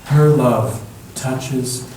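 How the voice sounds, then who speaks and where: Guy reading a kids story real slow and gentle, big pauses, noisy echoey recording from far off. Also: medium pitch. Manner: gentle, measured, with long pauses. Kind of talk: slow picture-book read-aloud. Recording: echoey room from far off, background noise.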